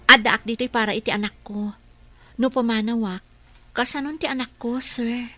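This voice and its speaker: A woman's voice: fairly high pitch, steady tone, moderate pace, pushed and bright. A woman playing a humble, dutiful caregiver and mother, easily worried, in a radio drama. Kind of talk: Ilocano radio drama acting.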